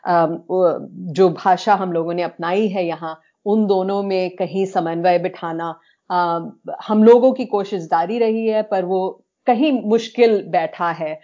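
An Indian woman co-hosting a podcast in a conversational, thoughtful way, often pausing to find her words. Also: fairly low pitch, mellow. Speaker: podcast co-host. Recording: dull, lo-fi audio.

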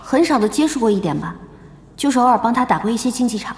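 A woman playing a bubbly, bossy young gamer in an audio drama, lively and expressive. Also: on the high side, fast, husky. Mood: shy, friendly.

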